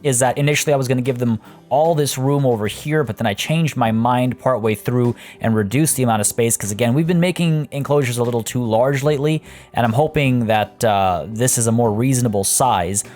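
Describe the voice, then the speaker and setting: A man hosting a let's play, rambling through his building steps and chatting with viewers, a little hoarse. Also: medium pitch, brisk, darkish tone, soft background music. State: losing his voice. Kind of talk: casual gameplay commentary.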